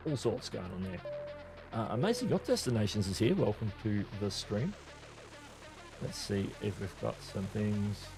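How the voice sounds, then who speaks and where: Male live-stream host, lowish voice, rambling and casual. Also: gravelly. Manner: rambling, many restarts and fillers; reads viewer chat aloud.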